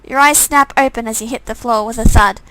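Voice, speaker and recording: A woman's voice: fairly high pitch, fairly even intonation, brisk, a bit bright, no room sound, poor audio. A woman reading a visual novel game aloud in dramatic narration, breaking off for casual, off-the-cuff comments.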